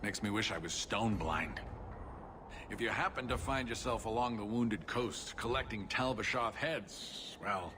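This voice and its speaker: An actor playing a grumbling, scheming dwarf merchant with a wry, blustering sales patter in a video game. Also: male voice, lowish voice, unhurried, noisy background, close to an everyday voice. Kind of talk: video game dialogue acting. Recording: game audio under loud music.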